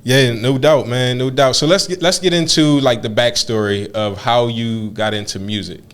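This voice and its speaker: A man's voice: medium pitch, fairly even intonation, moderate pace, fairly bright tone, clear, resonant tone. A man hosting a podcast, friendly and enthusiastic, talking in casual slang. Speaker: podcast host.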